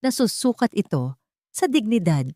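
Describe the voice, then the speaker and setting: A woman voicing a calm, sincere millionaire businessman in an audio story, composed, measured and formal. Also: mid-range voice, dry recording, studio quality. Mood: calm sincerity.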